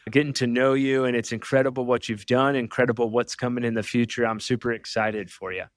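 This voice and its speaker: Man, medium pitch, podcast host, casual and enthusiastic, effusive, dry recording. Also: steady tone, a little fast, no echo. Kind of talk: enthusiastic interview chat.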